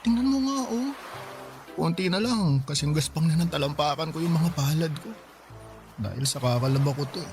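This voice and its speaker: An actor playing a vain, wheedling young kept boyfriend in a Tagalog radio drama, whining and coaxing cutely. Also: male voice, fairly high pitch, animated, moderate pace, a little mellow, soft background music. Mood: whiny, wheedling. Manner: whiny, coaxing, cutesy pouting. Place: studio recording with music behind.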